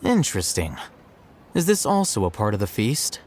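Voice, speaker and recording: A man's voice: fairly low pitch, huge pitch swings, moderate pace. A man playing a cool, analytical, unruffled young man in a video game, detached in manner. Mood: dry curiosity.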